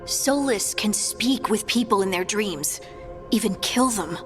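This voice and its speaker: A woman's voice: mid-range voice, animated, unhurried, big-room sound, music in the background, lightly acted. A woman playing a restless, driven, blunt fighter in a fantasy video game, speaking in clipped, insistent phrases. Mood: grave. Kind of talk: video game cutscene dialogue.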